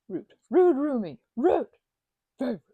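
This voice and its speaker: A woman's voice: sits high, big pitch swings, measured pace, breathy edge, dry recording, phone-quality sound, heavily acted. A woman playing a chatty, playfully indignant housemate recovering from a migraine, rambling and teasing in an intimate ASMR roleplay. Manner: rambling, hesitant, teasing, mock-offended. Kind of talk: intimate ASMR roleplay, improvised-sounding conversation.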